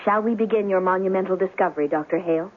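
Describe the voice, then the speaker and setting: An actress in a 1970s radio drama playing a devoted, encouraging lab assistant, earnest and precise. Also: female voice, mid-range voice, fast, phone-quality sound, natural voice. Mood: businesslike. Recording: thin vintage radio with faint noise.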